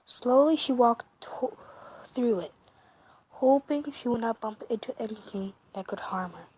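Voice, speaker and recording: A woman narrating a fan story for her first amateur comic dub, halting with many restarts and self-corrections. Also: on the high side, lively intonation, darkish tone, husky, poor audio. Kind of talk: reading a fan story aloud.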